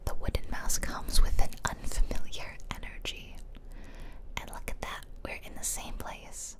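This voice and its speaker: Female ASMR gamer, whispered close-mic delivery, chatty and informal, rambling gameplay commentary. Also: unhurried. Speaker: video game streamer, ASMR host. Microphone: close microphone, ear-to-ear whispering.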